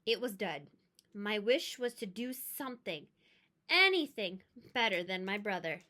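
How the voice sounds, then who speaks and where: A woman reading a children's storybook aloud as a storyteller, with dramatic, expressive emphasis. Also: medium pitch, unhurried. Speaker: read-aloud storyteller, teacher.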